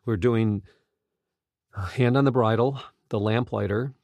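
Earnest man with a gravelly edge. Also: mid-range voice, steady tone, a little slow, darkish tone, dry recording. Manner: earnest, emphatic, exclamatory. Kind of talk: unscripted conversational talk with testimonies.

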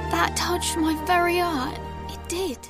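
A woman's voice: extremely high pitch, fairly even intonation, unhurried. An actress playing an earnest, wide-eyed poor young girl in a musical audio drama. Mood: moved, eager. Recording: music under the voice.

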